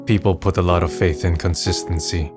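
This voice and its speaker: Low-voiced, pensive young man played by an actor. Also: steady tone, brisk, over music, lightly acted. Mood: musing. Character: wry, pensive young man, melancholy and self-doubting. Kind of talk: manga dub dialogue and narration.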